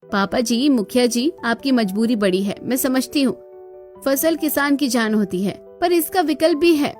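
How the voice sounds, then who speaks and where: A woman acts as an earnest young daughter-in-law from a cartoon village tale. Her voice is high, and she questions and persuades firmly, with music underneath. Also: normal speed, darkish tone, close to an everyday voice. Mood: earnest, persuasive. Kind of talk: Hindi cartoon story dialogue.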